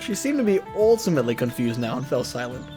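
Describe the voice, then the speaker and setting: A man's voice: mid-range voice, very animated, fast talker, dark tone. A man streaming a visual novel, reading it aloud expressively with casual asides. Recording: music behind.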